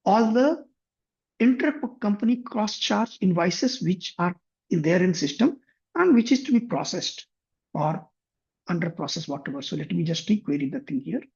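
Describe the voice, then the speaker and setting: A man demonstrating finance software on a shared screen, thinking aloud with frequent restarts. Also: high voice, lively intonation, normal speed, creaky, husky. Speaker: software instructor. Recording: muffled lo-fi computer mic.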